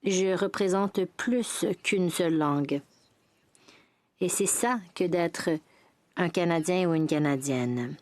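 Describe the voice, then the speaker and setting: A woman delivering a formal parliamentary speech in French, in a measured way with brief restarts. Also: fairly low pitch, darkish tone, no room sound.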